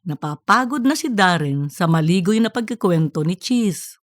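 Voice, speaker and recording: Lively-pitched woman narrator, very dry sound. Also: normal speed, no echo. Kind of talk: scripted comedic audiobook narration.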